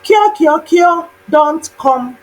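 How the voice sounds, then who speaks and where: Woman singing as high sing-song parent calling children. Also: animated, full character voice, poor audio. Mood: sing-song, coaxing. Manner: sing-song chanting, drawn-out repeated calls. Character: village parents singing a coaxing call to their children.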